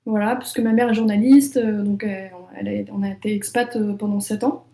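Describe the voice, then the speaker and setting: A woman's voice: medium pitch, steady tone, fairly quick, creaky, dark tone, roomy sound. A young French woman, an illustrator, chatting casually in an interview with many fillers and restarts. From France. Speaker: illustrator and author.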